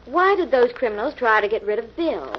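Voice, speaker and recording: A woman's voice: on the high side, expressive, brisk, natural voice. An actress playing a caring, inquisitive woman with a polite, composed manner in 1940s radio mystery style. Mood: curious. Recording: dull, noisy old-time radio recording.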